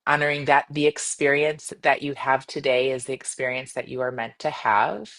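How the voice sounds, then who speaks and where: A woman leading a guided meditation in a gentle, soothing manner, speaking in measured phrases with long pauses. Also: very deep voice, steady tone. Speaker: yoga nidra meditation guide.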